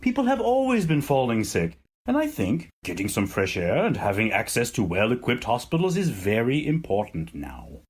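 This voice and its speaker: An actor playing an evasive, self-important male president in an audio drama, with a pompous, dismissive way of speaking and a husky voice. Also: medium pitch, wildly swooping pitch, normal speed, few pauses. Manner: pompous, dismissive politician's oratory. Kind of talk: audio drama acting, political interview.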